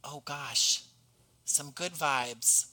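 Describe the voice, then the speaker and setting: A man's voice: fairly high pitch, extremely slow, bright, forceful tone. A man speaking as a podcast guest in a casual conversation, earnest and self-deprecating.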